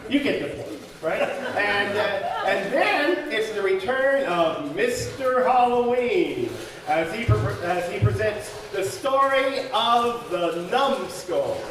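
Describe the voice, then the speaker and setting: Male live-show host: high, unhurried, showy and jokey, heard across a reverberant hall with audience noise. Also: animated, a crowd in the background. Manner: showy, jokey, drawn-out greetings and repeats. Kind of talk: live old-time radio show emceeing. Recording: echoey hall, distant mic, audience noise.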